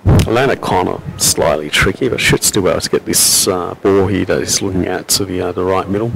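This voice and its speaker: A man with an Australian accent and a robust, loud voice, giving relaxed, chatty live commentary on a pool match with frequent fillers. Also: sits low, a little fast, gravelly, a little hiss or hum, rough recording. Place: venue at a pool championship.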